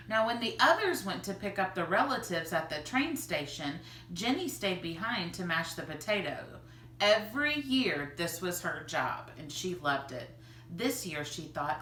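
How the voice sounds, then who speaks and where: A bright-voiced woman reads a picture book aloud with expressive delivery. She sounds a little distant from the microphone, in an echoey room. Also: lowish voice. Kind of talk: expressive children's read-aloud. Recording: roomy, distant mic, faint noise.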